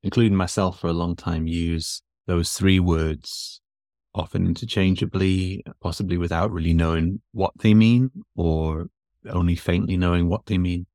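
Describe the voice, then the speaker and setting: A very low-voiced man with a Newcastle accent talks as a podcast guest. He is relaxed and self-deprecating, with plenty of fillers and restarts. His voice is recorded dry and close. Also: moderate pace, no room sound. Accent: Newcastle English. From north of England. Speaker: guest, physiotherapist and educator. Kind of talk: relaxed conversational interview. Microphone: podcast microphone.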